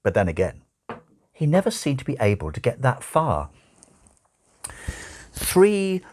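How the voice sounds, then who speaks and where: A man narrating a story as an audiobook reader, with a wry, measured and expressive delivery. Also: lowish voice.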